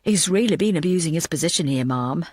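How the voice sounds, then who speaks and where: Lady doing a male detective, lowish voice, uneasy and blunt, audiobook crime story, dry sound. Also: expressive, quick pace, darkish tone, no room sound. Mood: grave, uneasy. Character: uneasy low-spoken male investigator reporting grim news.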